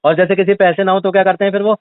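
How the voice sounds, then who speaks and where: A man's voice: sits high, level tone, racing, clear, resonant tone, dry recording. A man arguing on a phone call, teasing and defiant, sometimes pleading in mock fashion. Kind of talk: argumentative phone conversation. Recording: muffled, band-limited phone call recording.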